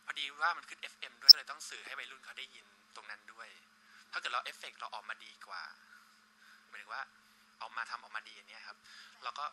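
A man's voice: mid-range voice, fast, sharp tone. A young man answering casually in an interview. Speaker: radio drama voice performer being interviewed. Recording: slightly noisy room.

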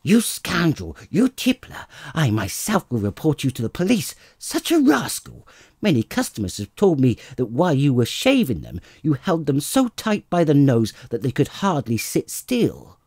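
Man putting on a shrill scolding wife. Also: huge pitch swings, moderate pace, darkish tone, slightly rough, no echo, studio quality, heavily acted. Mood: furious scolding. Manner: screaming insults, furious. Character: shrill scolding middle-aged barber's wife.

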